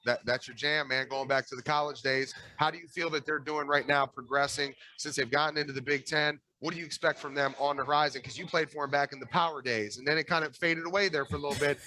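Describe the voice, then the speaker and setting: Fast bright-voiced man in his thirties. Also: a little high, fairly even intonation, low-quality recording. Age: 30s. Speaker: podcast co-host, former offensive guard. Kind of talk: casual podcast interview.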